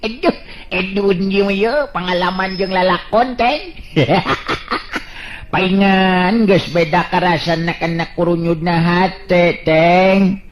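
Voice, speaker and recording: A man's voice: high voice, slow pace, slight background noise. A man with a Sundanese accent telling a folk tale and voicing its hero, chuckling often between lines. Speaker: folk storyteller.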